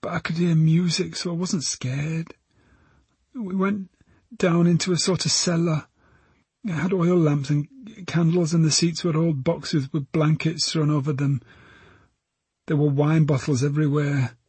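A man's voice: on the high side, level tone, unhurried, a little mellow, husky, dry recording, pitched above the performer's own voice. A man voicing a frightened, defiant injured teenage girl, sullen and wary, in an audiobook. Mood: subdued, uneasy. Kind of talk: audiobook character voice.

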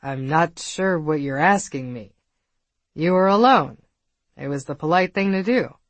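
Woman voicing a cool, deadpan New York woman. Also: low-pitched, a little slow, no room sound. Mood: cool, guarded. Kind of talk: audiobook character dialogue.

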